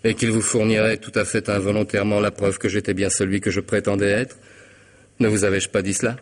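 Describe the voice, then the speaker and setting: A man's voice: fairly low pitch, little pitch movement, brisk, close to an everyday voice. An actor playing a cunning, mocking hitman in a radio mystery, with theatrical irony and precise, formal diction. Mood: sardonic menace. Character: cunning middle-aged hitman, smug, mocking, methodical blackmailer. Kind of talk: French radio mystery drama acting.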